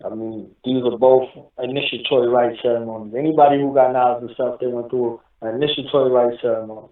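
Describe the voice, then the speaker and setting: A man's voice: medium pitch, fairly even intonation, fast talker. A man from Newark who grew up speaking Arabic, explaining things at length as a guest and often asking whether the listener understands. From Newark, New Jersey. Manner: explains at length, keeps asking "you understand what I'm saying". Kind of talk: casual interview talk. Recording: echoey, distant phone-line audio.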